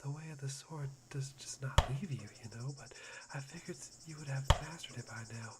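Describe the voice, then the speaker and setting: Someone acting a creepy whispery young guy, fawning and self-loathing, asmr roleplay, loud background noise. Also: medium pitch, fairly quick, natural voice. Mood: curious, self-deprecating. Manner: breathy, fawning, giggly, softly menacing. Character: unhinged, self-deprecating young man obsessed with hope.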